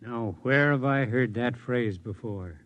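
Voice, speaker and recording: A man plays a philosophical friend with a medium-pitched voice. He is measured, wry and gently skeptical, in the style of old-time radio drama. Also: lo-fi sound, lightly acted. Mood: wry, disappointed. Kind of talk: 1950s radio drama acting.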